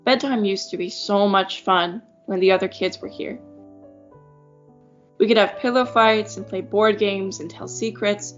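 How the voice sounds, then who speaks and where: A woman's voice: medium pitch, steady tone, a little slow, lightly acted. A woman voicing a lonely obedient schoolgirl. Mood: wistful. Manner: soft, wistful, a little fearful. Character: lonely young schoolgirl left behind, obedient. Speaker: narrator of the story. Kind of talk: first-person audio drama narration. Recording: muffled audio with piano score.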